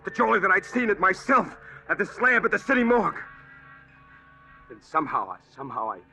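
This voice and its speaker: A man's voice: sits high, big pitch swings, fairly quick, creaky, bright, forceful tone, full character voice. An actor playing a brooding, deceived man who poses as a bashful Texan, in old-time radio drama style. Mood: brooding, haunted. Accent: Texan drawl. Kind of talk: old-time radio drama acting with first-person narration. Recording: vintage radio drama with faint music.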